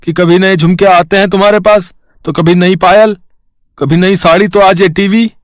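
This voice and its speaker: A man's voice: high voice, steady tone, normal speed, dry recording, clean studio sound, lightly acted. A man playing a worried, suspicious working-class husband in a cartoon story, earnest and questioning. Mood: suspicious, confronting. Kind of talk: Hindi cartoon story dialogue.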